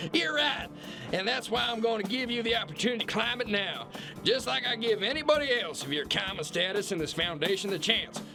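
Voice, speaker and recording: A man's voice: high voice, normal speed, creaky, music in the background. A man playing a bombastic, corrupt kingpin boss in an audio drama, blustering, mocking and condescending. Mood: condescending, gloating. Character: bullying, corrupt alpha-male kingpin boss, bombastic and scornful. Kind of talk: theatrical radio-play acting.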